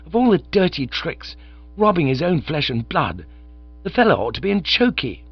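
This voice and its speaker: A man voicing a resentful young gentleman who speaks bitterly and indignantly. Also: a little high, big pitch swings, normal speed, husky, pitched above the performer's own voice, heavily acted. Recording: slightly dull lo-fi recording.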